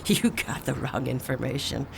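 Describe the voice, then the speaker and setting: A woman's voice: extremely low pitch, little pitch movement, moderate pace, creaky, very noisy, heavily acted. A woman playing a kindly, chatty elderly homeowner who rambles in a folksy way in an audio drama, coughing now and then.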